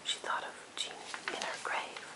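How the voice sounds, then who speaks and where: A person reading a poem in an unvoiced ASMR whisper, phrase by phrase with gentle pauses. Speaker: ASMR reader.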